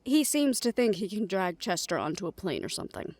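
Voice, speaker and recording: A woman's voice: medium pitch, big pitch swings, fairly quick, a little mellow, no room sound. Woman playing an outspoken, protective young woman. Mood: concerned. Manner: earnest, direct. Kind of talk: audio drama acting.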